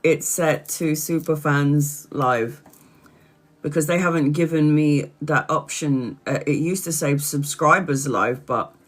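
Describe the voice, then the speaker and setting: A woman's voice: low voice, takes it slowly. A congested woman tarot reader chatting casually on her livestream, tired and rambling.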